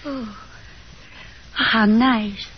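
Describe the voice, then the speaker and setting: High-voiced genteel, overbearing mother. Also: steady tone, extremely slow. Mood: wounded politeness. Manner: genteel, theatrical, chatty. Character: genteel, overbearing Southern mother, fussy and wounded. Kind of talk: 1950s radio drama acting. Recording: dull, noisy 1950s radio broadcast.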